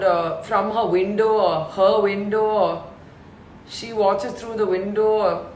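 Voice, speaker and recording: A woman in her thirties with a deep voice, chatting casually with fans on a live stream and reading out their questions. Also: reverberant, slight background noise, poor audio. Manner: chatty, laughs often, reads out questions.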